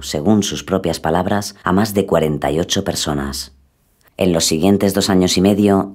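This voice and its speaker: Deep-voiced man, dramatic scripted narrator for true-crime storytelling. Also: a little mellow. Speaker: podcast narrator.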